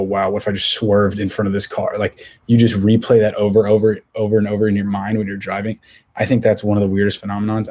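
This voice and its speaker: A young man hosting his own podcast alone, talking casually with a hoarse voice, rambling with many restarts and fillers. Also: fairly low pitch, darkish tone, slight background noise. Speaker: podcast host. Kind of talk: casual solo podcast talk.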